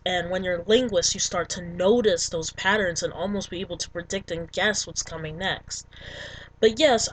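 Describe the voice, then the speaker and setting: A young woman chats to camera about her goals in a loose, rambling way, full of fillers. Her voice sits at an ordinary middle pitch, with faint noise underneath. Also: poor audio. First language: English. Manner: rambling, full of ums and likes. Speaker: language vlogger. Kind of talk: casual talk to camera.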